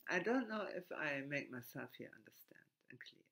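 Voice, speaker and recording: A man from Germany with a German accent, speaking haltingly in a reflective monologue with frequent restarts and broken grammar. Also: on the high side, very animated, vocal fry, bright, forceful tone.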